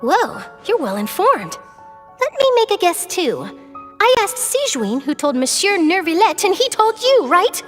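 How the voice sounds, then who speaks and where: A woman's voice: very high-pitched, very animated, measured pace, a bit bright, in a large hall, soft background music, exaggerated voice. An actress playing an eager, chatty, persistent young female news reporter in a video game, with a bubbly, pushy manner. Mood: chatty, confident. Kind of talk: animated video game voice acting.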